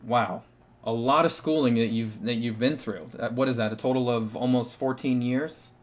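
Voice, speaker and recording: A young man hosting a casual live-stream talk show. He is upbeat and chatty and leans on "uh" and "you know" as he introduces guests and asks questions. His voice sits at an ordinary middle pitch. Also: steady tone, normal speed. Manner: upbeat, chatty, frequent fillers. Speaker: stream host.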